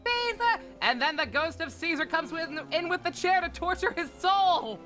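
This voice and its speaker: A man giving casual, rambling live commentary as a game streamer, with animated reactions. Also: very high voice, moderate pace, over music.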